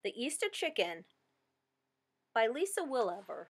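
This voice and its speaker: A woman reading a rhyming children's story aloud with expressive, sing-song delivery. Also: on the high side, measured pace, husky. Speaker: storybook reader.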